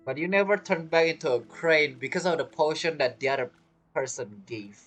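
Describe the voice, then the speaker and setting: Woman, low pitch, casual gameplay reaction commentary, streamer, faint music behind. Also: brisk.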